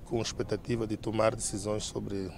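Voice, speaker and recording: A man's voice: lowish voice, level tone, normal speed, creaky, background noise. A middle-aged man with a Mozambican accent, giving a press interview outdoors as a party member. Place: outdoors in a paved courtyard.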